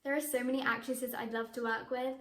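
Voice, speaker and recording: A teenage girl talks about her acting work, bubbly and eager. Her voice sits high. The room around her adds a noticeable echo. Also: little pitch movement, fairly quick. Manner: bubbly, enthusiastic, with frequent laughs. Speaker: young actress. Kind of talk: answering interview questions to camera. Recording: echoey room.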